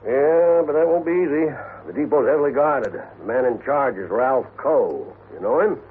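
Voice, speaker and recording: Businesslike frontier railroad agent male actor, medium pitch, plain-spoken, vintage tinny radio drama. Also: animated, normal speed, close to an everyday voice. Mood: wary, firm. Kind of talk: 1950s western radio acting. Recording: tinny, noisy old radio recording.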